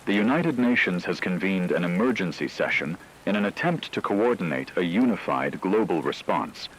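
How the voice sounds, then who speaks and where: A man playing a grave radio news anchor, delivering scripted breaking news in a solemn, measured, dramatic way. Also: low voice, big pitch swings. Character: grave male radio anchor reporting a catastrophe. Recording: noisy hissy radio broadcast.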